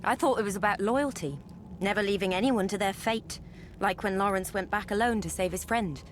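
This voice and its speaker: An actress playing a thoughtful, loyal immortal sibling who speaks reflectively in a video game. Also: female voice, mid-range voice, brisk, a little hiss or hum, natural voice. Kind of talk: video game character acting.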